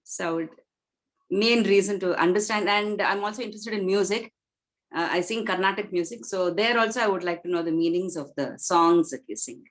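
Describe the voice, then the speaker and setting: Retired woman introducing herself politely. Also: medium pitch. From India. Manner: measured, polite self-introduction. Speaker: student. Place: video call.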